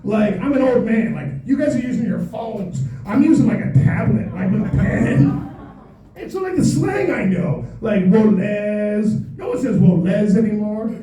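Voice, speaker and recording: A man's voice: high voice, animated, moderate pace. A middle-aged American man performing a playful stand-up comedy set on a club stage, teasing the crowd with mock exasperation. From United States. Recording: echoey room, voice a bit distant. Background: audience laughter.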